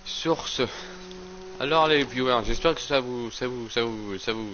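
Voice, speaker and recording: A man streaming a game while talking casually, rambling and stammering through repeated words, with a slight hoarseness from a cold. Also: mid-range voice, measured pace, fairly bright tone, a little hiss or hum. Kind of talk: casual let's play commentary. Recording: slightly dull lo-fi stream audio.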